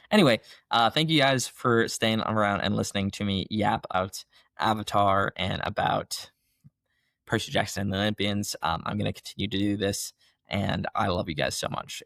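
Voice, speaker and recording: A young man hosts his podcast alone, talking in an excited, rambling way about things he loves. His voice is low, gravelly and a bit hoarse, as if he is still recovering from being sick. The sound is dry and close. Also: no echo. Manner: enthusiastic, rambling, fan gushing. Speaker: podcast host. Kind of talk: casual solo podcast chat.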